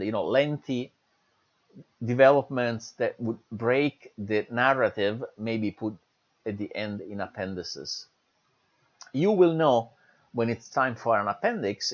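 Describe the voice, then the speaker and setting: A man's voice: medium pitch, muffled recording. A man with an Italian accent, Italian as his first language, giving an online lecture from home, enthusiastic and encouraging with many fillers. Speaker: university lecturer.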